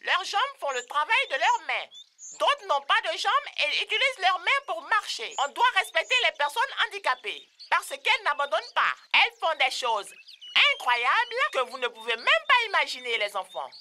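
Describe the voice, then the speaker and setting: Boastful motherly cartoon bird, super high and piercingly bright, kinda teachy. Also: big pitch swings, moderate pace, heavily acted. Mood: gentle scolding. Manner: playfully boastful, instructive. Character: boastful, wise motherly female talking bird. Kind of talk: children's cartoon dubbing.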